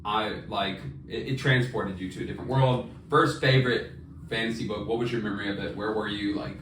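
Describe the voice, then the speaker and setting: A man's voice: on the low side, steady tone, brisk, a bit bright. A man co-hosting a podcast, chatting casually about books in a laid-back, slangy way. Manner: laid-back slangy, says "dude" and "bro". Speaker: podcast co-host. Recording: very noisy, echoey room, distant mic.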